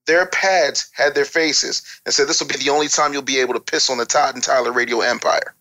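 A man's voice: medium pitch, steady tone, a little fast, bright, forceful tone. A man giving candid advice and telling anecdotes as a guest expert, speaking bluntly and emphatically with casual swearing. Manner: blunt, emphatic, profane, lecturing. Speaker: guest expert on music business and promotion.

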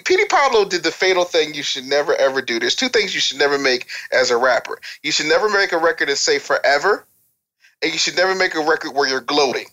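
A man's voice: fairly high pitch, expressive, fast talker, bright, forceful tone. A man speaking as a podcast guest, telling candid anecdotes in casual conversation and acting out the dialogue. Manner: candid storyteller who acts out dialogue.

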